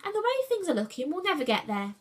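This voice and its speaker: A woman's voice: high voice, lively intonation, moderate pace, voice pushed up, full character voice. Woman acting a bossy, urgent storybook animal hero. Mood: snappy. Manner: urgent, snapping, shouting orders. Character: bossy, exasperated male animal hero at sea. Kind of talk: children's storybook character voice.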